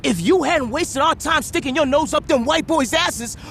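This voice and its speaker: An actor playing a brash young gang lieutenant who talks in a defiant, insulting way in a video game cutscene. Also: male voice, very high voice, moderate pace, gravelly, fairly bright tone, slight background noise, heavily acted.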